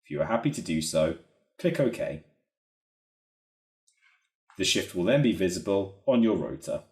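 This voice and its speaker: Low, lively man in an echoey room. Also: a little slow. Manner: methodical, explanatory. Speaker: software trainer. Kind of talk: instructional screen-recording narration. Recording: roomy, echoey voice recording.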